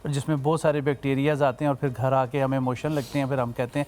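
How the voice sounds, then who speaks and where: A man's voice: mid-range voice, flat delivery, fast, darkish tone, slight background noise. A man, a doctor and health expert on a morning show, explaining health tips in a folksy, plain way. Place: TV studio.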